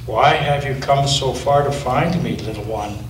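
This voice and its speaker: A male pastor reading aloud and voicing an ancient, wise, gentle female turtle in a soft, hushed, slow way in an echoey church. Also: medium pitch, recorded from a distance, slight background noise, natural voice.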